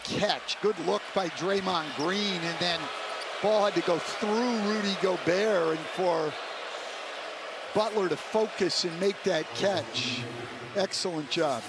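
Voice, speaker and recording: A man's voice: high-pitched, slow. A man giving opinionated, explanatory colour commentary on a live basketball game. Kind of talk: analytical live sports commentary. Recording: broadcast under loud arena noise. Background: loud basketball arena ambience.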